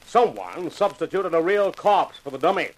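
A taunting homicide inspector from a fifties radio play. His fairly high voice swings widely in pitch, heavy with sarcasm as he needles people and takes charge. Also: big pitch swings, normal speed, poor audio. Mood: loud, taking charge. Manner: mocking, heavily sarcastic, needling. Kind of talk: 1950s radio drama acting.

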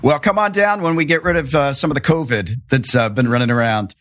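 A man's voice: medium pitch, fairly quick, fairly bright tone. An American man hosting a podcast, moving between introductions and conversational interview questions.

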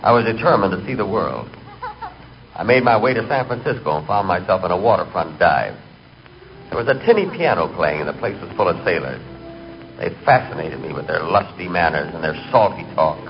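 An actor playing a nervous, hapless middle-aged man who tells his misadventures with deadpan earnestness in a 1940s radio comedy. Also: low voice, brisk, gravelly. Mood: storytelling. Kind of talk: 1940s radio comic monologue. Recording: dull, noisy 1940s radio recording.

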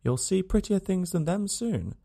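A parched, dying frontiersman, as a narrator puts him on, weary and gentle, speaking rough frontier vernacular. The voice is gravelly and dry, lifted above the reader's plain tone. Storybook reading. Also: high voice, sing-song, moderate pace, pitched above the performer's own voice, exaggerated voice.

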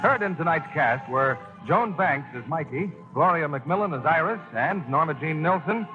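A man announcing the cast and next week's program in a formal, measured 1940s radio style. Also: a little high, expressive. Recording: dull lo-fi 1940s broadcast with music.

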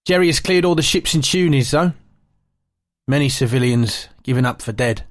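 A man playing a brisk British sergeant major of the Second World War, reading short, to-the-point diary entries. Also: mid-range voice, no room sound, clean studio sound, natural voice.

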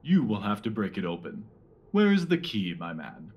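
Man playing a pompous, husky Victorian policeman. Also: fairly low pitch, wildly swooping pitch, normal speed, dull audio, heavily acted. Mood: officious. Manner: officious, pompous. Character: pompous Victorian police detective man, self-satisfied, officious. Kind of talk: dramatised mystery audio drama.

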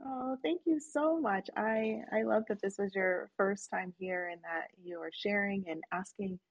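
A woman hosting an online session, guiding a slow breathing and reflection practice in a soft, inviting manner. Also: mid-range voice, breathy edge, muffled recording. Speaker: host leading a guided breathing session. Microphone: online call.

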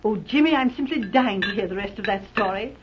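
A muffled old radio recording with noticeable noise, of an actress playing an eager woman. Her voice swoops with excited curiosity. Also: mid-range voice, fairly quick, lightly acted. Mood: excited, curious. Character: eager, excitable woman hanging on a detective's story. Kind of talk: 1940s radio drama acting.

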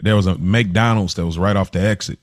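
American male co-host, very low voice, slangy laid-out casual talk, dry recording. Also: steady tone, a little fast, no room sound. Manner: slangy, reactive. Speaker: podcast co-host. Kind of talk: casual chat.

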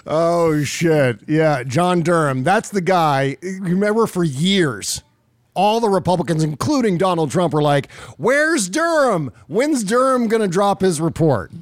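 A man's voice: sits high, lively intonation, a little slow, no room sound, studio quality. A man hosting casual political commentary in a sarcastic, exasperated and profane way. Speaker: podcast host.

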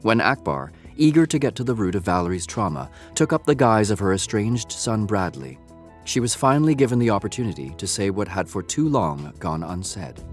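A man's voice: lowish voice, very animated, a little fast. A man narrating a scripted audio drama in a storytelling style. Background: music.

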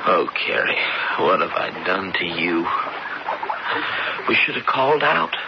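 A man playing a feverish, remorseful outlaw in a western radio drama, weak and in pain, speaking haltingly. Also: low-pitched, sing-song, gravelly, full character voice. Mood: anguished, remorseful. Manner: halting, anguished. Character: feverish, dying, remorseful young male outlaw. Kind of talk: old-time radio drama acting. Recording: dull, very noisy vintage radio recording.

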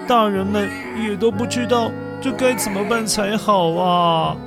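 A man narrating a children's story in a gentle, coaxing manner, speaking to young listeners. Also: high voice, steady tone, unhurried, gravelly. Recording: narration over backing music. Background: music.

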